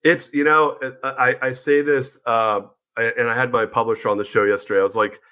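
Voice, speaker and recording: A man's voice: mid-range voice, fairly even intonation, brisk, bright, forceful tone, smooth. Upbeat, casual male podcast host. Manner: upbeat, casual, stumbles over restarts.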